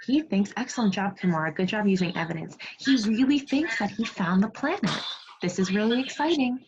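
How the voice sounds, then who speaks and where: A woman teacher reading a storybook aloud to young children in an online lesson, expressive, pausing to prompt and praise her pupils. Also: mid-range voice, background noise. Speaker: second grade teacher. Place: remote video class. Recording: muffled, lo-fi online class audio.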